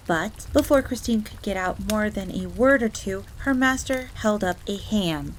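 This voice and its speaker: A woman voicing an excitable, devoted fox maid who tells her story theatrically in the third person. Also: mid-range voice, measured pace, slight background noise, lightly acted, low-quality recording. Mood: devoted, proud. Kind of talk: in-character storytelling audio roleplay. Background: background ambience.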